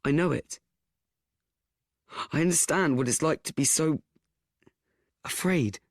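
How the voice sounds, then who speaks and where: A young actor playing an anxious, self-doubting teenage boy, confiding in a halting, stammering radio play monologue. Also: a little high, no room sound, clean studio sound. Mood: wry, confiding. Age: young adult.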